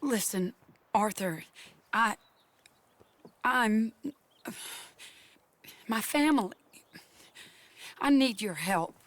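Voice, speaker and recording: Slow-spoken sorrowful widow. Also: female voice, medium pitch, animated. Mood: pleading. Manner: hesitant, subdued. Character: sorrowful, earnest young widow in the Old West. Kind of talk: cinematic video game acting.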